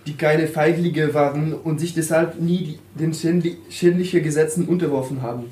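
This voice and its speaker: A man's voice: a little high, fairly even intonation, moderate pace, mellow. A man reading a text aloud in turns with another reader, now and then stumbling over a word. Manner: occasional stumbles over words. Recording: roomy with faint hiss.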